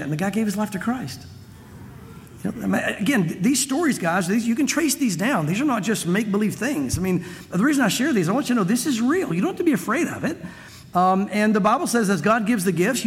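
A man's voice: sits high, long reverb tail. A man preaching a conversational sermon, full of asides and restarts, in a church. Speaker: pastor. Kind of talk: expository sermon.

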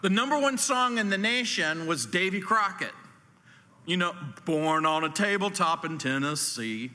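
An American man in his sixties preaches in a bright, slightly high voice that rings through a large hall. His delivery is deliberate, with pauses and a folksy, dry humour. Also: animated. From New Orleans, southern United States. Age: 60s. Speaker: pastor, guest speaker. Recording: echoey hall, long reverb.

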